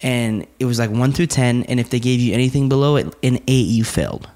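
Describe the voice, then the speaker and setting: A man's voice: medium pitch, fairly quick, a little mellow. A young man with a slight Mexican accent, co-hosting a casual podcast and ranting bluntly, swearing freely. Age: young adult. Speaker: podcast co-host.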